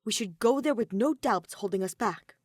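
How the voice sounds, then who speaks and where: A woman's voice: mid-range voice, expressive, moderate pace, dry recording, studio quality, lightly acted. A woman voicing a tense, determined teenage boy detective in a story, intent and serious.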